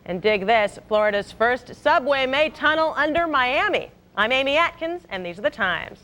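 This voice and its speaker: A woman's voice: high voice, lively intonation, normal speed, sharp tone. An American woman anchoring scripted local TV news with a wry, punchy, irreverent delivery.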